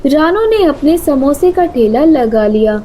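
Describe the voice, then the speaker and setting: A woman's voice: high voice, big pitch swings, a little slow, dark tone, a little hiss or hum. A woman reading a scripted children's story as narrator.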